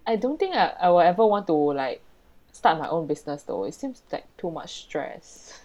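A woman's voice: fairly low pitch, sing-song, normal speed, a little mellow. A woman, co-hosting a podcast in playful banter. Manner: playful, swears while laughing. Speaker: podcast co-host. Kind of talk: casual banter.

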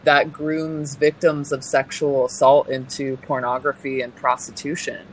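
A young woman from California with a deep voice, giving personal testimony as an interview guest in an earnest, halting way, full of pauses and restarts. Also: level tone, a little hiss or hum, low-quality recording.

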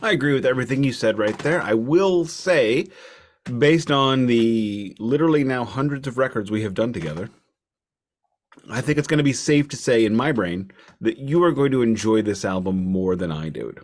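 A man with a medium-pitched voice talks as a music podcast co-host. His delivery is conversational and wry, with deliberate phrasing and repeated words to underline a point. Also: lively intonation. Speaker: podcast co-host reviewing albums. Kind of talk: casual album discussion.

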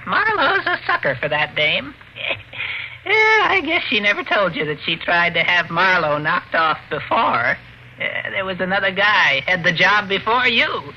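A spiteful ventriloquist's dummy with a sharp, piercing voice that swoops wildly. It drawls its slangy taunts slowly, like a gloating tormentor in a vintage radio thriller. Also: male voice, high-pitched, very animated, slight background noise, heavily acted. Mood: sly gossiping. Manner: sneering, slangy needling. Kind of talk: old-time radio mystery acting. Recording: muffled vintage radio recording, faint music.

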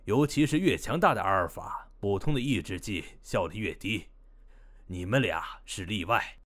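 An actor playing a male scientist doctor in a modern audio drama, explanatory and quietly knowing. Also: lowish voice, lively intonation, normal speed.

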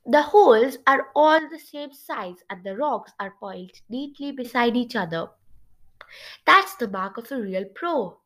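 A woman voicing a confident, curious female storybook sleuth in a congested, nasal voice, with a brisk, teasing manner. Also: on the high side, expressive, fairly bright tone. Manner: brisk, self-assured, teasing.

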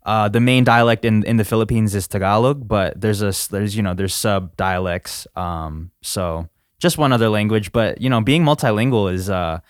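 A man hosting a casual interview, relaxed and full of fillers and agreeing noises. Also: on the low side, moderate pace, clear, resonant tone, dry recording, studio quality. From United States. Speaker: podcast host.

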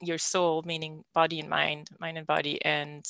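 A woman's voice: deep voice, flat delivery, normal speed, gravelly, fairly bright tone, clear, resonant tone, no echo. A woman from Belgium hosting a podcast and talking candidly about her love life, laughing often and restarting her sentences. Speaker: podcast host. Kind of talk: candid personal conversation.

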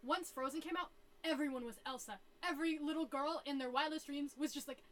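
A woman's voice: high voice, fairly quick, a bit bright. A young woman co-hosting a casual podcast, chatty, with many fillers. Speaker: podcast co-host. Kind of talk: casual chat.

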